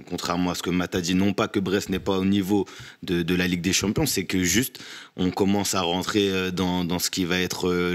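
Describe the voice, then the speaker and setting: A man on a fan panel giving a considered, somewhat hesitant football opinion. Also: low voice. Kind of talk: football analysis in casual chat.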